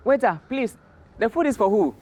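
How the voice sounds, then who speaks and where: A man's voice: high-pitched, animated, moderate pace, warm tone, pitched above the performer's own voice. A man voicing a sly, greedy male tortoise in an oral folktale, speaking in a polite, emphatic, declamatory way. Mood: insistent.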